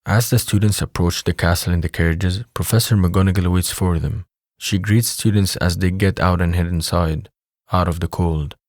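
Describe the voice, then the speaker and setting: A man's voice: low voice, steady tone, moderate pace, no room sound, studio quality. A man reading scripted narration for an audio drama as its narrator.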